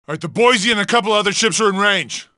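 An actor playing an American soldier in a war game, speaking briskly and matter-of-factly. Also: male voice, high-pitched, pushed and bright, no echo, clean studio sound. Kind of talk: game battle dialogue.

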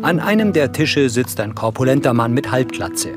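A man's voice: medium pitch, animated, normal speed. Male narrator over music. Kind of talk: scripted scene narration, audio description.

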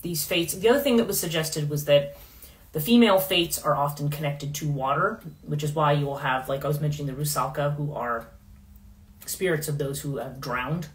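A middle-aged woman hosting a casual livestream in her living room, rambling with many fillers and restarts, her voice going hoarse. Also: sits low, moderate pace. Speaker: podcast host. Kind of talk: casual livestream talk on myth.

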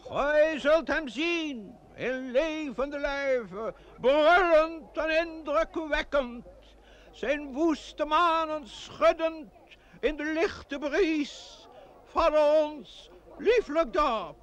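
An actor playing a pompous, stubborn village mayor with a hesitant manner in a radio play. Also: male voice, very high-pitched, drawn-out words, fairly bright tone, full character voice. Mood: pompous, ceremonious.

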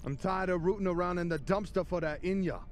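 A man's voice: high-pitched, fast, soft background music, natural voice. An actor playing a foul-mouthed, aggressive and resentful spaceship crewman in a video game, with a brash, taunting manner. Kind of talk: video game cutscene acting.

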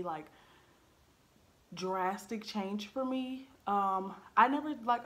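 A woman's voice: medium pitch, a bit bright. Young woman, around twenty, vlogger, candid, earnest, reflective, camera at home. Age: 20s. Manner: earnest, blunt and reflective, with frequent ums and likes. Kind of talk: candid personal storytelling to camera. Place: living room at home. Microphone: camera in front at desk height.

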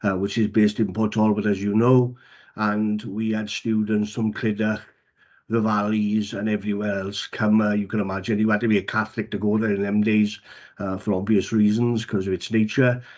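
A man's voice: fairly low pitch, level tone, moderate pace, darkish tone. A man, a councillor speaking plainly with many fillers at a council meeting. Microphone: video call. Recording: dull lo-fi video call audio.

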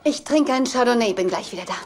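A woman's voice: fairly high pitch, lively intonation, fast talker, natural voice. An actress playing an upbeat, sociable girlfriend in a German-dubbed sitcom. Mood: chatty. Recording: faintly noisy TV soundtrack rip.

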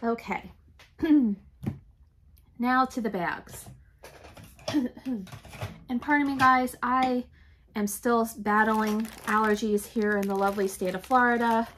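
A chatty, enthusiastic woman, talking straight to her desk camera in a home craft room. Her voice sits a touch high, and it sounds a little raspy and congested from allergies, with the odd throat clearing. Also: fairly even intonation, slow pace, pauses often, fairly bright tone, a little hiss or hum. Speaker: reseller vlogger. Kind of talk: casual shop-with-me haul chat.

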